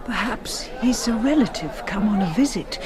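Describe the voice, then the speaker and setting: A woman's voice: medium pitch, animated, normal speed, crowd noise, natural voice. An actress playing an inquisitive, prim and disbelieving lady in a radio drama. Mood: curious, knowing.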